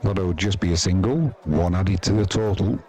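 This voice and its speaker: A man's voice: low-pitched, fairly quick, dark tone. A man doing casual, chatty live cricket commentary and talking to viewers, with dry, informal asides. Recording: crowd noise behind the voice. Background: sports crowd.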